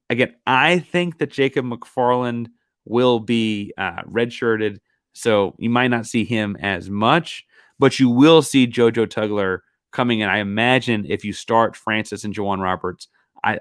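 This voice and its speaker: A man's voice: lowish voice, fairly bright tone, clean tone, dry recording. Man, sports podcast host, casual rambling chatter, fading voice, dry close sound. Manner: casual, rambling, many fillers and asides. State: losing his voice. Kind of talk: solo sports podcast analysis.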